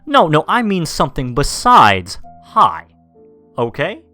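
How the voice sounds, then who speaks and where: A man voicing a cheerful animatronic mole who hosts a kids' stage show in a peppy, booming way with a Mexican-American accent. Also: wildly swooping pitch, slow pace, sharp tone, faint music behind, exaggerated voice. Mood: coaxing.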